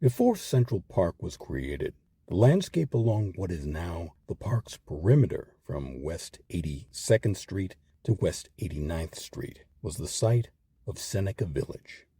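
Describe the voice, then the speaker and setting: A man reading scripted documentary narration in a measured, articulate way with emphatic phrasing. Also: sits low, very animated, warm tone, breathy edge, dry recording, studio quality. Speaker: narrator of a history program.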